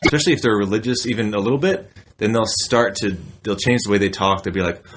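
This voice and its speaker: Relaxed low-voiced campus minister. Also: male voice, fast talker, noisy background. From Houston, Texas. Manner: relaxed, self-deprecating, joking. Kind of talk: casual podcast interview. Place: church campus center office. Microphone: phone on a table.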